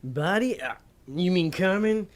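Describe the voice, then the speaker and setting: An actor playing a dim but eager demon sidekick in an audio drama, a bumbling would-be charmer who gets halting when flustered. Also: male voice, high voice, expressive. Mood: flustered flirting.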